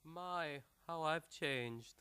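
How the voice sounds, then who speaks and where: A man's voice: fairly high pitch, extremely slow, pushed and bright, clear, resonant tone, heavily acted. A man playing a timid, wistful doctor sidekick in a radio play, meek, dreamy and agreeable. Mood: meek, weary. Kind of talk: old-time radio play acting.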